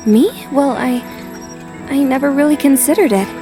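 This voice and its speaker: A woman's voice: high-pitched, normal speed, natural voice. A woman playing an earnest, polite young noblewoman heroine in an anime dub, both speaking and narrating her thoughts. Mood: hesitant. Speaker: voice actor in an anime fan dub. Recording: faint music behind. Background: faint music.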